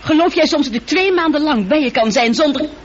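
A woman's voice: sits high, lively intonation, brisk. An actress playing a witty, caring female ship's computer in a radio play, precise and composed in delivery. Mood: indignant. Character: female spaceship computer, calm, witty, caring, easily hurt. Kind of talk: scripted radio play acting. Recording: dull old radio recording, faint noise.

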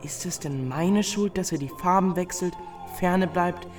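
A man's voice: sits high, unhurried. An actor playing an earnest, polite young boy who pleads eagerly in a theatrical radio play. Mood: despairing. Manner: polite, eager, clearly declaimed. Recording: studio radio play with music behind.

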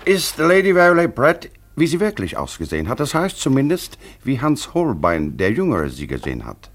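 An actor in a German radio play voicing a haughty, shrewd aristocratic blackmailer with formal, deliberate, pompous phrasing. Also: male voice, medium pitch, wildly swooping pitch. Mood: formal, probing.

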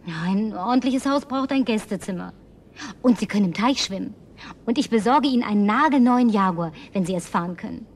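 A woman's voice: mid-range voice, animated, a little fast, close to an everyday voice. An actress playing a lonely, house-proud young woman in a German radio drama, coaxing and effusive with sighs. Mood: persuasive.

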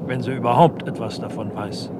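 Guy playing cheeky cop sidekick, medium pitch, loud car noise all around, old radio drama. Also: fairly even intonation, a little fast, close to an everyday voice. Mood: excited, sceptical. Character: brisk, cheeky police sidekick to the inspector. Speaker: actor in a crime radio play. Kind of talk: mid-century German crime radio drama acting. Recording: loud vehicle noise over the voice. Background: car driving.

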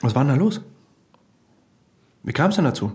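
Radio drama: male actor as nosy, teasing pal, mid-pitched voice, informal and chatty, blunt. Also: big pitch swings, moderate pace, a little mellow, natural voice. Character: blunt, prying, teasing male friend who drinks. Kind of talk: German radio play dialogue.

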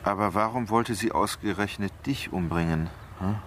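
A man's voice: lowish voice, moderate pace, a bit bright, slight background noise, close to an everyday voice. An actor playing a dry, cynical man in a radio crime play. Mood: dry, sceptical.

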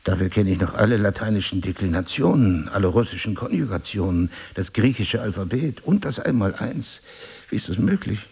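An actor playing a wry, disoriented husband with amnesia, musing and articulate, in a German radio play. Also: male voice, fairly low pitch, expressive, a little fast, vocal fry, darkish tone, husky, full character voice. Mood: bewildered.

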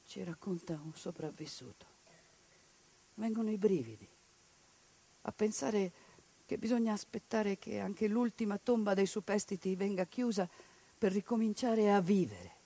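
A woman actress performing a theatrical monologue, narrating with passion and dramatic swings between hushed and loud delivery. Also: mid-range voice, lively intonation, moderate pace, pauses often, breathy edge. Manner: impassioned, dramatic swings in loudness. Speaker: stage actress. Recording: radio broadcast of a stage piece.

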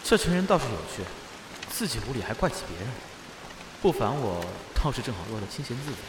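A man's voice: mid-range voice, very animated, fast talker, noisy background, close to an everyday voice. An actor playing an aloof, sardonic young nonhuman man in a costume audio drama, with a detached way of talking. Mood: wry musing.

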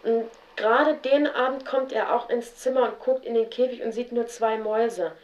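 A young woman telling about her childhood in a halting, spontaneous way, often with fillers and restarts. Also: a little high, darkish tone. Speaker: interviewee. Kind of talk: spontaneous personal account. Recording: roomy, dull 1970s radio tape.

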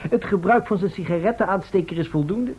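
A man's voice: high voice, fast, darkish tone, muffled recording, a little hiss or hum, close to an everyday voice. A man playing an unruffled, matter-of-fact visitor from the future in an old radio drama. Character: matter-of-fact male time traveller from the far future.

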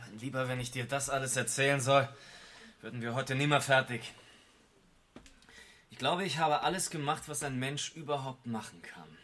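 A man plays a tall, thin, weary Austrian ex-prisoner in a radio drama. His mid-pitched voice is dry, wry and reserved. Some noise and clicking sits behind it. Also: normal speed, long pauses, bright, forceful tone, lightly acted. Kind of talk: German radio play acting.

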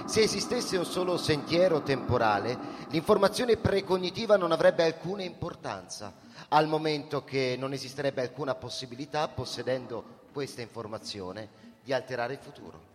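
Police chief for radio play: male actor as didactic official, lively intonation, hall reverb, slight background noise. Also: mid-range voice, normal speed, close to an everyday voice. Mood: lecturing, official. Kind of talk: radio drama acting, formal explanation.

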